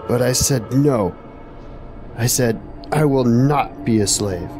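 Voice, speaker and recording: An actor playing a haunted, weary warrior in a fantasy radio drama, speaking gravely and haltingly. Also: male voice, medium pitch, over music, close to an everyday voice. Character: broken, haunted brave warrior, solemn and weary.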